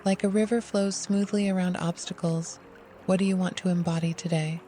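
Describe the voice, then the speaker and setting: Meditation guide voice, gentle n unhurried, flat calm pitch, warm dark tone, music and water running behind. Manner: soothing, unhurried, gentle instruction. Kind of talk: guided meditation, scripted narration.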